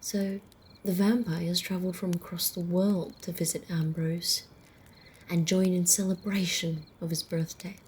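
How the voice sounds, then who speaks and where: A woman playing a calm, ancient female vampire in an ASMR roleplay, telling old lore in a soft, measured half-whisper. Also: lowish voice, lightly acted. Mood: calm, wistful. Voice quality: soft, breathy, often whispering. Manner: measured, deliberate, hushed storyteller. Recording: faint outdoor night noise, crickets.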